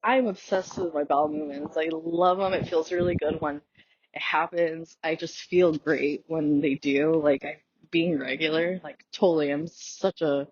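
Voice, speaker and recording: A woman's voice: sits low, fairly even intonation, normal speed, a little mellow, dull audio, a little hiss or hum. A woman whose first language is Tagalog, hosting a casual solo podcast on a walk outdoors, talking in a chatty, rambling way with many fillers and restarts. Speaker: podcast host.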